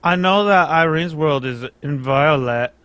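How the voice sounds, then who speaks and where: A man's voice: a little high, unhurried, a bit bright, clear, resonant tone, dry recording. A man playing a pompous, formal king in an amateur radio drama, speaking with grand emphasis. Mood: relieved, grateful. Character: a pompous, anxious, grateful European king. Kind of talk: amateur old-time radio drama reading.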